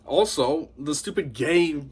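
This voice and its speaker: A man giving an opinionated monologue to camera as a commentator, talking in a scornful, animated way. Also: on the high side, takes it slowly, breathy edge. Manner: animated, scornful, mocks opponents in put-on voices. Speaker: YouTube commentator.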